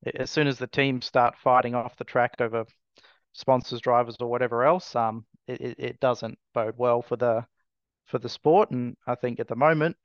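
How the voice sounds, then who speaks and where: A man's voice: medium pitch, steady tone, moderate pace, dry recording, muffled recording. A man, answering interview questions as a racing team owner in a hesitant way, full of fillers and restarts.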